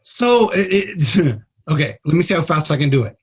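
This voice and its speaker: A man's voice: fairly high pitch, very animated, moderate pace, husky. A man speaking as a guest doctor in a radio interview, explaining things in a plain-spoken, blunt and informal way. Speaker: trauma surgeon, guest doctor.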